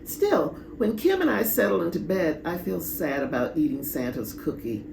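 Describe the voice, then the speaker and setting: A woman reading a children's story aloud in a dramatic, deliberate way with pauses. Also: deep voice, sing-song. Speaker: storybook reader. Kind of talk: expressive children's read-aloud. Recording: echoey room, clear background noise.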